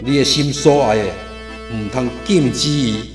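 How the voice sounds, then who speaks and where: An actor with a Taiwanese accent playing a domineering king of a dark realm in a radio drama, speaking in a commanding, oratorical way. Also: medium pitch, unhurried, natural voice. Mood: grandly tempting. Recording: echoey studio with music behind.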